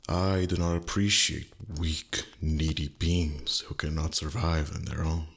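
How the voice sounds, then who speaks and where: A man voicing a haughty, teasing male dragon in intimate ASMR roleplay, husky and breathy, condescending with flustered pauses. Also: extremely low pitch, fairly even intonation, a bit bright, exaggerated voice. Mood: scornful, defensive.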